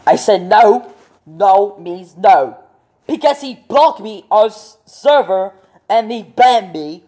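Husky, dark, slow female voice. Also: mid-range voice, lively intonation.

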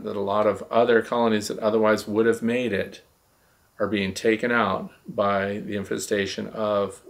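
An American man in his sixties with a gravelly voice, explaining things casually and emphatically as the host of a question-and-answer show. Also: lowish voice. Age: 60s. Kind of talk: casual explanatory talk to camera. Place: small indoor room, seated at a desk.

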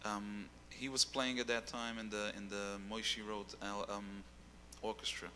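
Young man, singer as guest, bright tone, rambling delivery, handheld mic in a reverberant hall. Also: on the low side, level tone, a little slow, slight background noise. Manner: rambling, repeats words while searching. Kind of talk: casual interview on stage. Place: dark stage with keyboard.

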